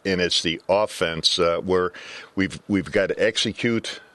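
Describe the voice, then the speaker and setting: Older guy w/ a really low voice, slow to find his words, chatting sports on a panel. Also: fairly bright tone, no echo. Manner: halting, deliberate, repeats words. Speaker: color commentator, former coach. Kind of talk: casual sports panel discussion.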